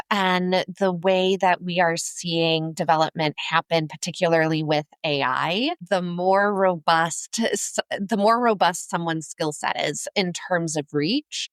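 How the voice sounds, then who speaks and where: Low-pitched woman explaining, dry close sound. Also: a bit bright, no echo. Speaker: career coach, podcast guest. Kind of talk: conversational interview answer, explanatory.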